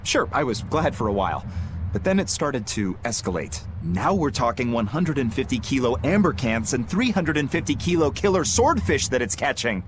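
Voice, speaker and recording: A man playing an excitable, fretful young pirate crewman in a video game. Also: mid-range voice, moderate pace, background noise, lightly acted. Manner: hesitant, complaining, excitable.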